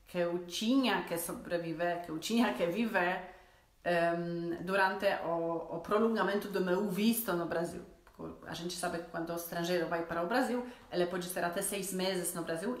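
Polish woman in her forties, chatty vlogger who restarts a lot, roomy living room sound, built-in mic. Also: on the low side. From Poland. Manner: chatty, self-correcting, laughs between phrases. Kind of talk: casual chat to camera. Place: living room at home with fireplace.

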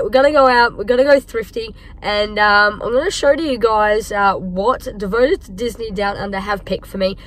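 An Australian woman in her thirties with a croaky voice, chatting casually to camera from a parked car on a phone held at arm's length. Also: medium pitch, a little hiss or hum, rough recording. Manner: chatty, upbeat, many fillers. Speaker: vlogger. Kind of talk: casual vlog talk to camera.

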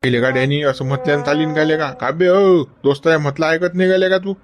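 A man's voice: on the high side, fairly even intonation, quick pace, over music, close to an everyday voice. An actor playing an elderly male friend who coaxes and scolds in a Varhadi Marathi accent. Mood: coaxing. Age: older. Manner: coaxing, chiding with repeated "गड्या".

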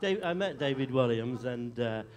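A man's voice: medium pitch, animated, normal speed, a little mellow. A man performing as a contestant on a live comedy show, rambling and restarting his sentences. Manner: rambling, hesitant, many restarts. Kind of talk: live stage banter. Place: comedy club stage, small live audience.

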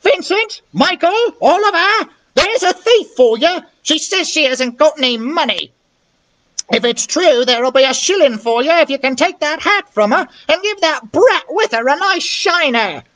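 A shrill street woman from a storybook, acted out by a man, angry and full of threat. The voice is pitched very high and swoops as it shouts, stretching out its menacing calls. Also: animated, moderate pace, exaggerated voice.

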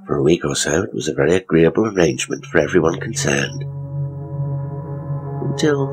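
A man's voice: rumbling low, very animated, fairly quick, over music. A man giving scripted dramatic narration of a horror story.

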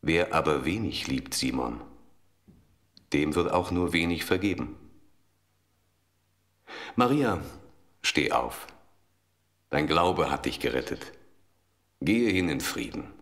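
Biblical teacher for audio drama, extremely low, calm, deliberate, slow delivery. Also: male voice, exaggerated voice. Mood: gentle, calm. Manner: solemn, deliberate. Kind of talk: German religious audio drama.

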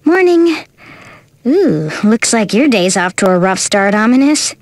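Casting: sardonic, sensible young heroine for a game, high animated voice, close and dry. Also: female voice, unhurried, no echo. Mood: sarcastic. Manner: wry, teasing. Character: level-headed young woman adventurer with a teasing, sardonic streak.

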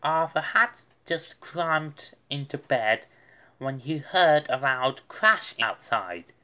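A woman's voice: low voice, lively intonation, slow, bright, forceful tone, highs cut off. A woman reading a children's storybook aloud as narration. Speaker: storybook reader.

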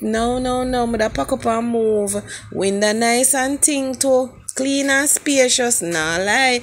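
She is a Jamaican woman with a creaky edge to her voice. She talks in a chatty, enthusiastic way, mixing Patois into a casual walk-through vlog. A little background noise comes through on the handheld phone recording. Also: mid-range voice. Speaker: vlogger. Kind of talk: casual house tour vlog. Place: walking through show houses and outside them.

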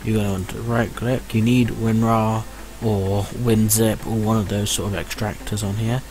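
A man's voice: on the low side, little pitch movement, moderate pace, darkish tone. A man giving a casual, reassuring step-by-step tech tutorial in an explanatory way. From United Kingdom. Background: music.

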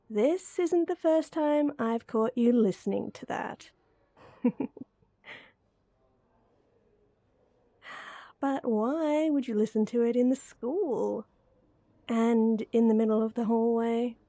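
A woman's voice: a little high, vocal fry, dark tone, no echo, full character voice. A young woman voice actor playing a playful, teasing close friend in a roleplay audio, speaking to the listener in a giggly, coaxing way. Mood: amused, teasing.

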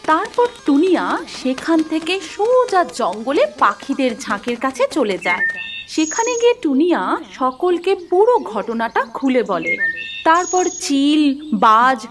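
A woman's voice: sits high, very animated, unhurried, music in the background. A woman narrating a scripted cartoon fairy tale as a storyteller.

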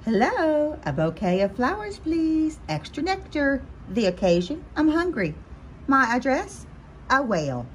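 Little male butterfly, played by a woman: fretful, melodramatic, whiny, wildly swinging pitch, picture-book comedy. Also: sing-song, a little slow, slight background noise, rough recording. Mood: hopeful, insistent. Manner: whiny, exclamatory, theatrical. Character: anxious, dramatic little male cartoon butterfly, easily panicked. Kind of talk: animated children's picture-book read-aloud.

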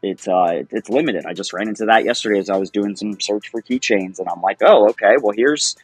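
A man's voice: fairly low pitch, fairly quick, slightly rough, faint music behind. A man in his thirties talking as an interview guest over a video call from home, rambling and upbeat, laughing often. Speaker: guest, project founder. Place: home, by a window. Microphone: webcam on video call.

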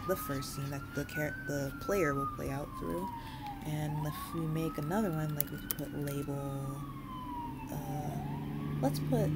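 A woman hosts a casual software tutorial, thinking aloud informally as she goes. Her voice sits low for a woman but moves around in an animated way. The recording has loud noise behind her. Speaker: tutorial host, game developer.

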